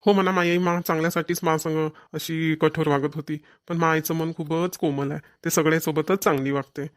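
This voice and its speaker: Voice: medium pitch, little pitch movement, normal speed, natural voice. A performer voicing a caring, mildly nagging village man in a family cartoon, with a rural Maharashtrian accent. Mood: casual, grumbling. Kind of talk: Marathi family cartoon voice acting.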